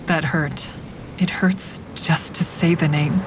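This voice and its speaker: Over clear rumbling traffic noise, a hard-edged woman narrating her own drama. Her voice is on the low side, and her delivery is wry, frank and deliberate, in clipped sentences. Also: fairly even intonation. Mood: pained restraint. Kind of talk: scripted first-person dramatic narration.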